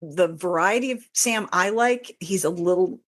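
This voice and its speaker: A woman with a slightly low voice speaks as a guest in a casual interview. She explains things in detail, doubling back and interrupting herself as she goes, in an informal, conversational way. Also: normal speed. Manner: rambling, self-interrupting, informal. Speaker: interview guest, fan fiction author. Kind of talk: casual, detailed explanation of own writing process.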